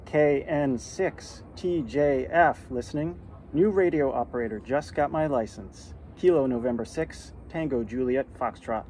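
A middle-aged American man making amateur radio calls and talking casually to camera outdoors, spelling out call signs deliberately. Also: mid-range voice, darkish tone, poor audio. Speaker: amateur radio beginner, vlogger. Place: outdoors in a yard and porch. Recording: outdoor background noise.

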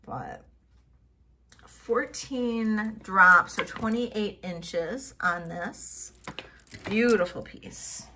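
Woman, middle-aged, slow unhurried talk, bright tone, casual show-and-tell, soft thinking-aloud mutters, faint background noise. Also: mid-range voice, lively intonation. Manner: thinking aloud, trailing off into soft mutters while testing stones. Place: home office at a table.

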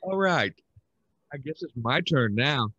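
Older male podcast host for a casual art show: animated low delivery, dull video-call sound. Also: unhurried, slightly rough, no echo. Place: indoor room on a video call. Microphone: headset.

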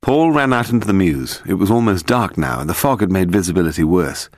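A man with a British accent reading a detective story as scripted narration, in a measured, dramatic storytelling manner. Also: sits low, animated, dry recording, clean studio sound. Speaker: audiobook narrator.

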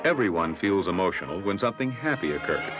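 An American man narrating a scripted 1960s educational film in a measured, instructive manner. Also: low voice, very animated, over loud music. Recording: dull, lo-fi old film soundtrack.

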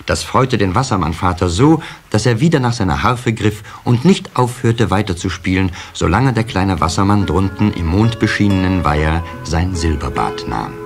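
A man narrating a children's radio play as an expressive storyteller. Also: sits low, moderate pace, few pauses, soft background music.

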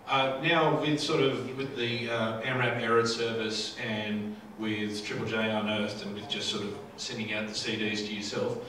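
A man's voice: mid-range voice, fairly bright tone. Very echoey, distant male moderator. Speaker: panel moderator. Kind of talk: casual live moderating. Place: indoor music venue with audience. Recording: very echoey, distant, faint room noise.

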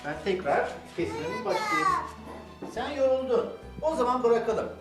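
A man's voice: very high-pitched, huge pitch swings, moderate pace. An older man, a grandfather guiding children through crafts step by step with endearments and simple questions. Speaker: grandfather hosting a children's toy-making show. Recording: roomy echo with music. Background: music.

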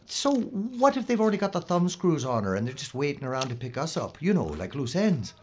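A man's voice: on the high side, sing-song, a little fast, darkish tone. An actor playing an anxious, whiny, bumbling film crew man in an audio drama. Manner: flustered, whiny, babbling. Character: anxious bumbling male film crew member, easily flustered. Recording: dull, noisy audio drama recording.

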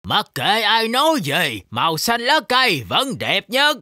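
A man's voice: sits high, huge pitch swings, measured pace, noisy background, exaggerated voice. An actor voicing a proud father shark in a Vietnamese children's cartoon dub. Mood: boastful, stubborn.

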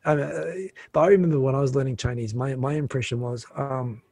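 A man appearing as a podcast guest over a video call, calmly explaining things in a casual, knowledgeable way. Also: mid-range voice, dry recording. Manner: explanatory, knowledgeable, quick asides.

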